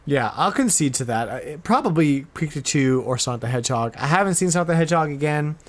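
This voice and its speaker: A man's voice: on the high side, animated, fast talker. A man hosting a podcast in a casual chat, informal and emphatic, repeating himself to make a point. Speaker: podcast host.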